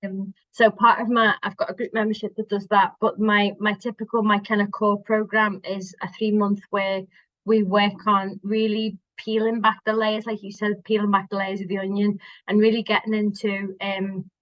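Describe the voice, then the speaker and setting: Liverpool-accented woman, middle-aged body worker, chatty and mid-pitched, lo-fi dry recording. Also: little pitch movement, normal speed, dry recording. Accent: Liverpool, softened by years in Scotland. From Liverpool, England. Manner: chatty, warm, restarts sentences often. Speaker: guest, somatic body worker. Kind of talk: casual podcast chat. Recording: dry, dull lo-fi sound.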